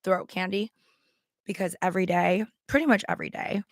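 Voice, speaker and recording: A woman with a raspy, strained voice chatting casually to camera as a vlogger, rambling with many ums and likes while she talks around a throat candy. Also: fairly low pitch, a little fast, dry recording, clean studio sound. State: strained, worn voice. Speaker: livestream seller and vlogger.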